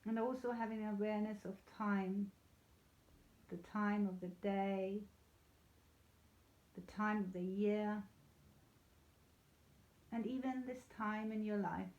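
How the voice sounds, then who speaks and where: A woman leading a guided yoga nidra meditation in a soothing, unhurried, gently reassuring way. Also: mid-range voice, steady tone. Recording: slightly dull, echoey room sound.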